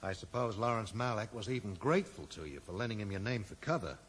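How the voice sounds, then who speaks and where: An actor playing a dry, sarcastic amateur sleuth who probes and accuses in a 1980s radio drama. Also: male voice, on the low side, expressive, fast talker, natural voice. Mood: dry, accusing. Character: sardonic, probing male amateur sleuth confronting an old friend. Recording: echoey, noisy old radio broadcast recording.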